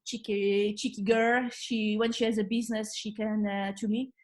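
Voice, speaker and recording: A woman from Poland with a Polish accent, a guest in a casual interview, speaking earnestly and often pausing with fillers. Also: mid-range voice, flat delivery. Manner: many fillers and restarts, laughs easily, warm and earnest.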